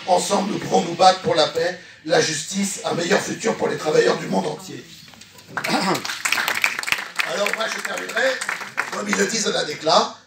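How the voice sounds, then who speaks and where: A man speaking as a trade unionist at a public meeting, recorded from a distance in an echoey room. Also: sits high. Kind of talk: public meeting speech, partly reading a statement aloud.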